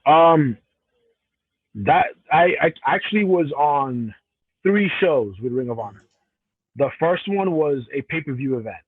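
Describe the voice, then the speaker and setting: A man's voice: fairly high pitch, expressive, unhurried, long pauses, no echo, narrow, tinny audio. A professional wrestler from Cameroon, a man answering interview questions in a casual, rambling way with frequent restarts. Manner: rambling, many restarts and self-corrections.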